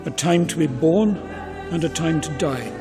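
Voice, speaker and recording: An older man giving a solemn, measured scripture reading at a funeral. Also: fairly high pitch. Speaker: funeral reader. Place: inside a church at a funeral service. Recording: reverberant church, music in background.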